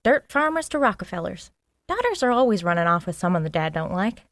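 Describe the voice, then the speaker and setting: An actress playing a frank, nosy working-class maid in a 1920s period audio drama, with a folksy, plain-spoken manner. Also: female voice, lowish voice, big pitch swings, fairly quick, a bit bright, no room sound, clean studio sound. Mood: frank, probing. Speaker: actor.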